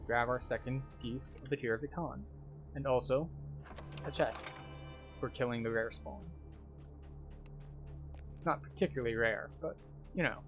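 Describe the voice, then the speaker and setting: A man's voice: medium pitch, steady tone, normal speed, pauses often, music in the background. A man giving casual, explanatory commentary as a tour guide through a video game.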